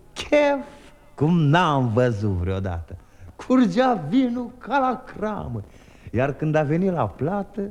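Sly jolly peasant trickster lad, high bouncy voice, rhyming and chuckling. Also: male voice. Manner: lively, boastful, chuckling rhymed telling. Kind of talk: 1950s radio drama, rhymed storytelling.

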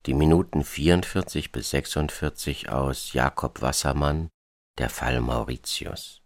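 A man narrates reflectively from a script. His voice is deep and gravelly, taken slowly, in a measured commentary style. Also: dry recording, clean studio sound.

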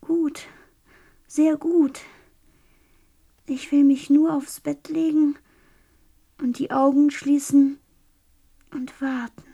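Very slow, dreamy young woman. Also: sits high, level tone. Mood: dreamy, resigned. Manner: hushed, trailing, repetitive. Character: dreamy, exhausted young woman slowly transforming, serenely resigned. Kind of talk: German radio play acting.

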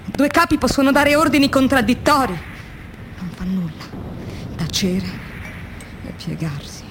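Attrice che fa una operaia angosciata, registrazione rumorosa. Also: female voice, sits high, very animated, unhurried, a little hiss or hum, exaggerated voice. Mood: bitter, resigned. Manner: dramatic, shifting between hushed and vehement. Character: anguished, exhausted woman factory worker confiding her diary. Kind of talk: theatrical radio drama monologue.

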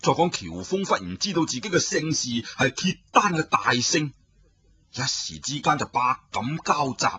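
A man telling a traditional story in a dramatic, animated way, addressing his listeners. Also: fairly high pitch, moderate pace, slightly rough.

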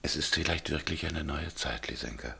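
Gravelly, deep-voiced middle-aged patriarch. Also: male voice, moderate pace, pushed and bright, heavily acted. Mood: troubled, reflective. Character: stern, proud middle-aged governor, tender to his wife. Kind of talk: German radio play acting.

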